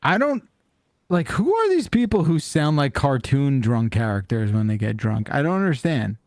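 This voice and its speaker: A man hosting a podcast in casual, joking chat, animated and often incredulous. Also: mid-range voice, normal speed, no echo, clean studio sound. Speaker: podcast host.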